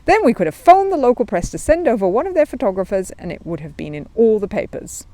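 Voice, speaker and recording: Woman voicing a grumbling market trader, very animated. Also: a little high, quick pace, dry recording, voice pushed up. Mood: emphatic, advising. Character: grumbling, opinionated London market traders, men and women. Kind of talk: children's storybook character voice.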